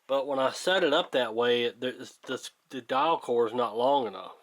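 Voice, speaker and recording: A man giving casual hands-on workshop commentary, thinking aloud in a halting, plainspoken, folksy way, like a hobbyist hosting a repair video. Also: medium pitch, fairly bright tone, dry recording.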